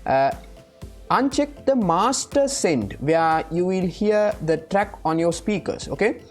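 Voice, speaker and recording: A low-voiced woman walks through software steps in an informal way. She often checks in with a short questioning tag as she goes. Faint music sits behind her voice. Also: expressive, slow. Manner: frequently checks in with "right?". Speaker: tutorial host. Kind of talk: informal step-by-step software tutorial.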